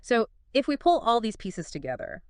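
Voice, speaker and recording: Female co-host, clear resonant voice, conversational explainer style, engaged, emphatic phrasing, dry close sound. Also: a little high, big pitch swings, normal speed, dry recording.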